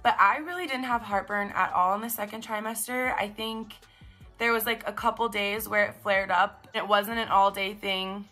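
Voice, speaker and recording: A young woman with a raspy, congested voice, chatting casually in a vlog. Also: medium pitch, fairly even intonation, moderate pace, bright, forceful tone, faint music behind. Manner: chatty, upbeat, filler-heavy. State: congested, stuffed up. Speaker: vlogger. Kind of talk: casual pregnancy recap vlog.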